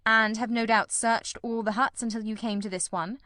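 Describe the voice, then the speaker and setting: A woman's voice: on the high side, fairly even intonation, normal speed, sharp tone, no room sound, clean studio sound. A woman voicing a keen, composed male detective in an audiobook, with a cold, incisive, precise and wryly ironical delivery. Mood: brisk, approving. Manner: ironical, precise, politely teasing. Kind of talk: audiobook character dialogue.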